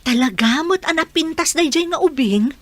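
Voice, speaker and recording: A woman's voice: sits high, lively intonation, normal speed, slight background noise. A woman playing a greedy, pushy middle-aged mother in an audio drama, theatrical and conniving.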